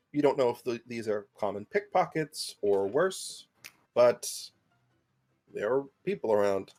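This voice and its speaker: A man running a tabletop game as game master, teasing the players with dry humor. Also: mid-range voice.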